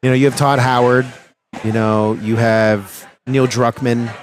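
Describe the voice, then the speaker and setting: A man from Miami talks casually at a middle pitch, circling his point with restarts and "you know"s. He is an industry guest in a relaxed interview. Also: measured pace. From Miami, Florida. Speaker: game developer guest.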